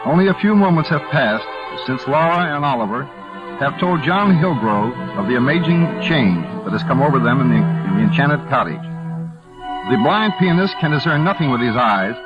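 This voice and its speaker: A man's voice: medium pitch, animated, moderate pace. A man introducing and narrating a 1940s radio play in scripted style. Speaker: host and narrator. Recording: dull vintage radio, loud music behind.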